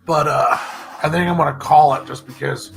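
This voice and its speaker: A man with a gravelly, creaky voice pitched a little above the usual for men, talking through a stuffed-up, congested nose. He rambles casually as a streamer closing out his show, pausing to clear his throat now and then. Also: steady tone, fairly bright tone, soft background music. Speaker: comic artist streamer. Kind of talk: casual live-stream sign-off.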